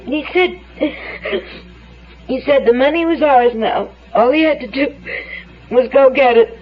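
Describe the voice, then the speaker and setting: An actress playing a fragile, grieving mother in an old-time radio crime drama, halting and pleading through tears. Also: high voice, muffled recording. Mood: subdued, halting.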